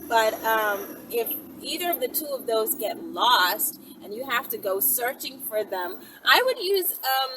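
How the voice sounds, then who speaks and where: A woman's voice: on the high side, big pitch swings, measured pace, few pauses. A woman hosting a casual, improvised question-and-answer video, chatty and thinking aloud, often checking in with her listeners. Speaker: online video host answering viewer questions. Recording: distant mic, loud vehicle-like background noise.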